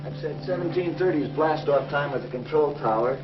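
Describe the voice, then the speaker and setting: Actor as a calm confident space pilot, plain matter-of-fact delivery, roomy muffled old TV sound. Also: male voice, a little high, brisk, heavy background noise, close to an everyday voice. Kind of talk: 1950s TV drama acting. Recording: dull roomy 1950s TV soundtrack.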